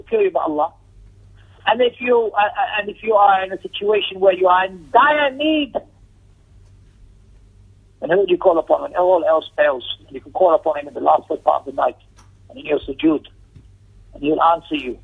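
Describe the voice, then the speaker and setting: A man's voice: high voice, vocal fry, slightly rough. A man teaching an Islamic lesson as a religious teacher, emphatic, with repeated phrases, rhetorical questions and shifts into Arabic recitation. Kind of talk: Islamic lecture with commentary on a text. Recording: tinny, narrow telephone-like audio.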